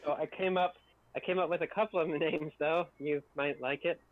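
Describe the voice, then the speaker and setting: A man chats casually as a co-host in a fairly high voice. He comes through a thin, phone-like connection that cuts off the fullness of the sound. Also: fairly even intonation, moderate pace. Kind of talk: casual group chat. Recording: thin phone-like band-limited line.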